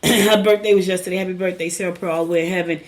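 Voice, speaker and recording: Hoarse chatty woman hosting a morning show. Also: fairly low pitch, fairly even intonation, very fast. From Newark, New Jersey. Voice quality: raspy, scratchy voice. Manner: rambling, chatty, warm personal storytelling. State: hoarse, clearing throat. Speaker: podcast host. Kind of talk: casual morning show chat.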